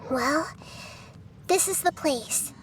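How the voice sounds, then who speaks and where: Little goddess girl: high, soft, slow and earnest, gentle and thoughtful, anime game dub. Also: a little hiss or hum, poor audio. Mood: calm, earnest. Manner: soft-spoken, measured, earnest.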